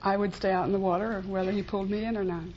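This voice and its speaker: A woman's voice: medium pitch, steady tone, rapid-fire. An American woman from Pennsylvania, casually answering a host's questions as a quiz show contestant. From Pennsylvania, United States. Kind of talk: casual unscripted interview answers. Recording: dull, faintly noisy vintage radio recording.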